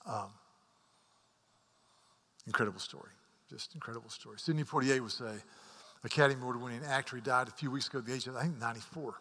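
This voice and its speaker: A man's voice: mid-range voice, quick pace, pauses often, slightly rough. Earnest preacher man in a reverberant hall. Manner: earnest, repeats words, rises to emphatic peaks and drops to hushed asides. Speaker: pastor. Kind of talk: sermon.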